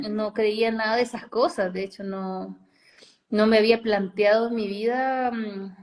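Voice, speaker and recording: A woman's voice: medium pitch, darkish tone, rough recording. A woman from Chile and Costa Rica talking casually as an interview guest, in loose, rambling phrases. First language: Spanish.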